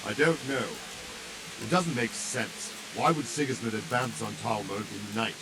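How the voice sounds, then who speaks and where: A man playing an alert, commanding medieval castle soldier in a video game, speaking briskly. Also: medium pitch, close to an everyday voice. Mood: puzzled, reasoning. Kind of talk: dramatic video game acting. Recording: clear hiss and background noise.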